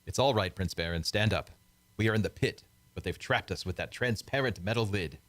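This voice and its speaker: A man's voice: lowish voice, brisk, fairly bright tone, dry recording, close to an everyday voice. A man playing a brave young space adventurer in an amateur radio play, earnest and forthright.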